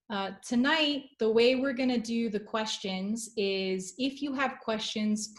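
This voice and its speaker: A woman speaks as the host of an online evening seminar. Her pitch is middling and her delivery is informal, like a program leader introducing talks. The sound is roomy, with a clear echo around her voice. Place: indoor room, online webinar. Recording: roomy, echoey online meeting audio.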